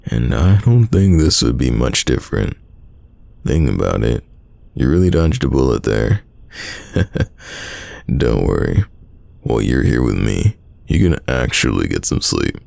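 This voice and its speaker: A man playing a secretly smitten, teasing young man in an intimate roleplay audio drama, deep and gravelly, talking casually. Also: sing-song, a little mellow, no room sound, full character voice. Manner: casual, conversational, halting, teasing.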